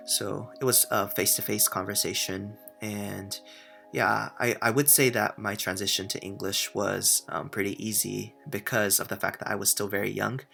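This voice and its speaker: Young man from Japan, native Japanese speaker, low gravelly voice, bright tone, earnest and full of fillers. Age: young adult. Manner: earnest, with many filler sounds. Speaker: university student. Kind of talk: answering interview questions.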